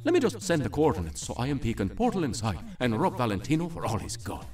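A man's voice: medium pitch, wildly swooping pitch, quick pace, a little mellow, soft background music. A man playing a kind-hearted, anxious seaman in an audio drama. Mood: brisk.

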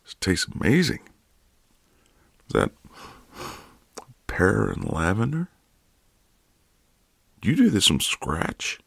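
A man playing a flirtatious, easygoing retired marine neighbour in an intimate audio roleplay, with a deep, gravelly voice and a casual drawl. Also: huge pitch swings, slow, pauses often, dry recording, full character voice. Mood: warm, flirtatious.

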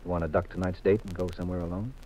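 An actor playing a confident, teasing American husband detective in a 1950s radio mystery, with a wry, assured manner. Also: male voice, deep voice, steady tone, rapid-fire, dark tone, slight background noise, natural voice. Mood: affectionate, teasing. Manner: wry, assured, leading questions. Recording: muffled vintage radio recording.

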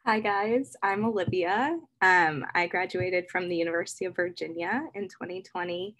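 A young woman with a bright, crisp tone and a slightly low pitch. She explains things in a chatty way over a video call as a dental student. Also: moderate pace, poor audio. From Michigan. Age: young adult.

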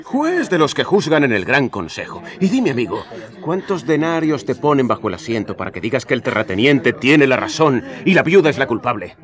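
An actor playing a bold, sardonic Galilean prophet in a colloquial radio drama, speaking pointedly and tauntingly. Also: male voice, mid-range voice, sing-song, brisk, natural voice. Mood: sardonic, challenging. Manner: pointed, taunting rhetorical questions. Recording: crowd noise behind the voice.

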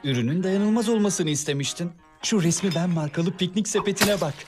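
A man's voice: high voice, a little fast, over music, natural voice. A man voicing a smug, dim but obliging young man in a cartoon dub, with an exaggerated, self-satisfied delivery.

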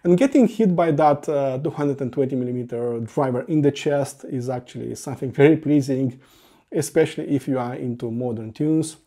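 Mellow-voiced man reviewing audio gear. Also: medium pitch, a little fast. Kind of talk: product review narration, semi-scripted.

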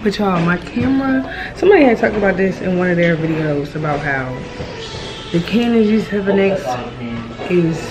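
Chatty young woman vlogging, voice going hoarse. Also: on the low side, moderate pace, over music, rough recording. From Virginia, USA. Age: 20s. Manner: chatty and relaxed, addresses viewers as y'all. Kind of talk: casual vlog to camera. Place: wooden cabin, indoors. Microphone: handheld camera at arm's length.

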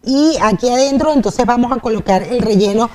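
Woman TV host, fairly high voice, lapel mic in a studio kitchen, upbeat and talking to the audience. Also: brisk, a crowd in the background. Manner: upbeat, addresses viewers directly. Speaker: TV show host. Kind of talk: cooking segment hosting.

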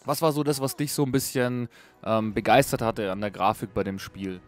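A man's voice: medium pitch, moderate pace. Male game reviewer, casual, over music. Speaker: video game reviewer and host. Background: music under a voice-over.

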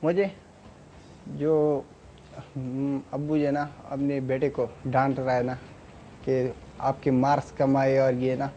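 Hesitant young man with a mellow voice. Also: mid-range voice, level tone, highs cut off, slight background noise. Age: young adult. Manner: hesitant, halting, soft-spoken replies. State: sore throat. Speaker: audition contestant and university student. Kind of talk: hesitant interview answers. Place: TV studio set, seated in a chair.

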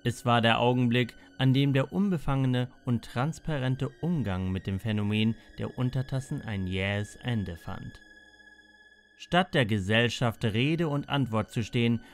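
A man narrating a scripted mystery documentary in a measured way, with dramatic pauses and emphatic short sentences. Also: medium pitch. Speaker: narrator of a mystery audio report.

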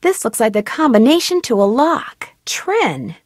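A young female game detective: high, animated, dry booth recording. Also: measured pace, no room sound. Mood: curious. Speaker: game protagonist.

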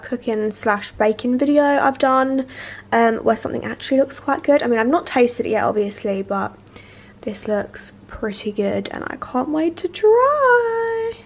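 A woman in her twenties chatting excitedly to camera in a casual home cooking vlog, full of fillers. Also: fairly high pitch. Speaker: vlogger. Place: home kitchen and living room, indoors.

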